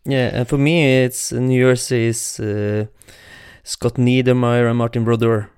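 A man's voice: mid-range voice, little pitch movement, unhurried, darkish tone, clean tone, no echo, studio quality. A man hosting an interview, asking questions hesitantly with many uhs and slightly awkward phrasing. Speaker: interviewer, host.

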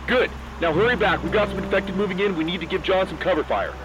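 A man's voice: sits high, fast talker, highs cut off, noisy background, close to an everyday voice. A man playing an urgent, commanding survivor on lookout in a zombie audio drama. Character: brisk male lookout commanding defenders under attack.

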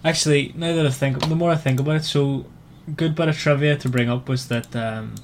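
A man hosting a casual book club chat in a hesitant, halting way, often restarting his sentences. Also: mid-range voice, fairly even intonation, a little hiss or hum. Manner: hesitant, halting delivery with repeated false starts. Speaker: podcast host.

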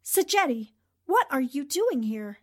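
A woman's voice: high voice, very animated, measured pace. An actress playing a defiant, wry female newspaper reporter under threat in a 1940s-style radio drama. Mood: defiant alarm.

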